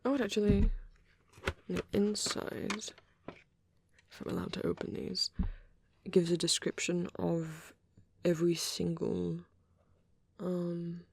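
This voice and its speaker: A man playing a shy, soft-spoken vampire boy in an intimate ASMR roleplay, rambling hesitantly and bashfully close to the microphone, often near a whisper. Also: high-pitched, level tone, takes it slowly, vocal fry, pauses often, breathy edge, exaggerated voice. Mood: quiet, musing. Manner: hesitant, bashful, softly nostalgic, often trailing off.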